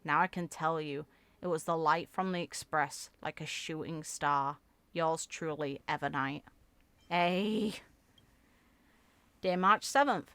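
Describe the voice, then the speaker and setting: A woman reading video game story text aloud in an expressive, theatrical way, as a content creator. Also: sits low, slow, long pauses, clear, resonant tone, no room sound. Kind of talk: reading game lore aloud with reaction asides.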